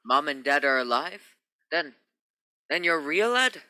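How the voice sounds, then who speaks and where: A man acting a bright, forceful rocket captain. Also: on the high side, very animated, unhurried, full character voice. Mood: amazed. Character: commanding male Navy rocket captain, middle-aged, emotional. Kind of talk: radio play acting.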